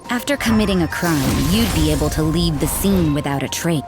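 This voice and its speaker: A woman's voice: low voice, animated, fairly quick. A woman voicing a cool, cynical church sister in a video game, dry and aloof. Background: game music.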